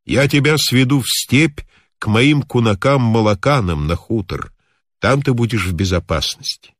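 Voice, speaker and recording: A man voicing a kindly, talkative old mountain healer in a story, with a calm, instructive, measured manner. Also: medium pitch, no echo, studio quality, lightly acted. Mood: calm, reassuring.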